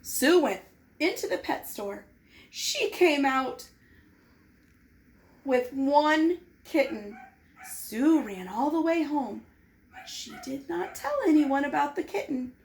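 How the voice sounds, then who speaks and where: A woman reading a children's storybook aloud in an expressive, deliberate, dramatized way. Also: sits high. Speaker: storybook reader. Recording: noticeable background noise.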